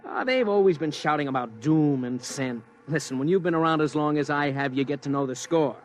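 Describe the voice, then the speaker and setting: A man's voice: a little high, a little fast, close to an everyday voice. Man playing a blunt, skeptical working guy. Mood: dismissive. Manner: colloquial, dismissive, blunt. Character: skeptical, folksy working man in the street, seasoned. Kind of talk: radio drama acting.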